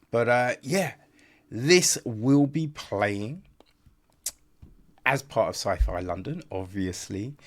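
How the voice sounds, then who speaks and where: A man giving a casual, unscripted film review as a podcast host, rambling and repeating himself, with frequent check-in tags and informal, chummy phrasing. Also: medium pitch. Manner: rambling, repetitive, peppered with "right?" and "you know"; informal, chummy address, mild swearing.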